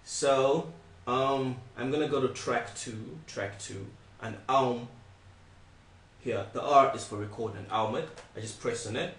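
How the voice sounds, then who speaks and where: A young man giving a casual step-by-step software tutorial, speaking informally and repeating his instructions. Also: medium pitch, measured pace. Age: young adult. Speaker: tutorial presenter. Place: small indoor room, not soundproof. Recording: echoey untreated room, distant mic.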